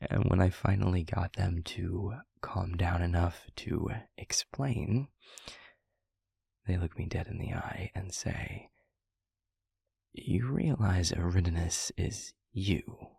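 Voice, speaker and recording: A gentle demon mentor played by a man confides in a low, very husky murmur. He speaks softly and haltingly, leaving long pauses, with heavy gravel in his voice. It is an intimate audio roleplay monologue, reflective and fond. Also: no room sound, studio quality, heavily acted. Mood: fond, amused.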